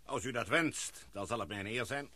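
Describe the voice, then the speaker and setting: An actor playing an older, authoritative English gentleman who talks in a calm, courteous, commanding way in a radio play. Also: mid-range voice, normal speed, slight background noise, natural voice.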